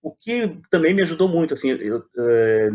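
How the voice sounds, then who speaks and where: A man's voice: a little high, big pitch swings, normal speed, a little mellow. A Brazilian man talking casually and reflectively as an interview guest, often repeating words as he searches for phrasing. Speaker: playwright and poet guest.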